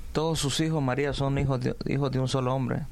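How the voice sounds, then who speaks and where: A man interviewing a guest on the radio, asking measured, formal questions. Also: mid-range voice, fairly even intonation.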